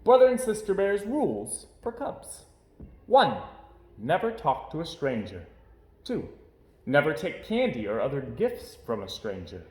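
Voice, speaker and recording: A man's voice: on the high side, wildly swooping pitch, measured pace, a little mellow, reverberant. A man narrating a children's picture book as a read-aloud. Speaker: storybook reader.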